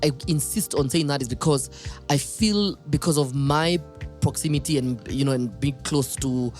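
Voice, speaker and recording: Man hosting a relaxed interview. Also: a little high, faint music behind. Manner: thoughtful, drawn-out questions with frequent affirming "yeah". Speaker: podcast host. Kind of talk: casual interview chat.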